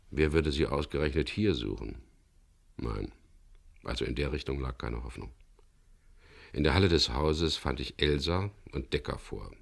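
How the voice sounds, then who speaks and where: Radio crime lead: weary, dogged skipper, extremely low and gravelly, dry and laconic. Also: male voice, heavily acted. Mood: sober narrating. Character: dogged, world-weary male English skipper, held captive. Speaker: lead actor and first-person narrator. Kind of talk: 1970s German radio crime drama acting and narration.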